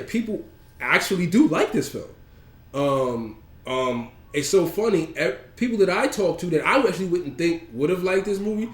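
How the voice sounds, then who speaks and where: A man with a cold and a slightly nasal voice, co-hosting a casual podcast chat, rambling with lots of ums and restarts. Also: on the high side. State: has a cold, a bit nasal. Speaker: podcast co-host. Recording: echoey room.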